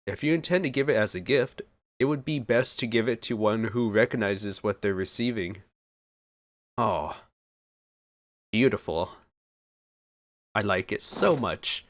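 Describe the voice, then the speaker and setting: A man's voice: fairly low pitch, dry recording. A man giving casual let's play commentary as a streamer, sometimes reading game text aloud. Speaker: gaming streamer.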